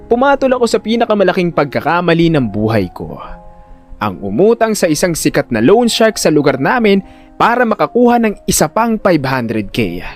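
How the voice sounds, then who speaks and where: A man narrating a first-person audio drama in an expressive, conversational storytelling manner. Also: a little high.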